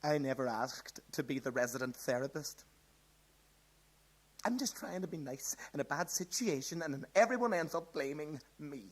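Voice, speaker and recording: A man's voice: on the high side, lively intonation, moderate pace. Upset, self-justifying teenage boy. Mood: wounded. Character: Martin, upset, put-upon teenage boy hiding up high. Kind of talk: live radio drama acting.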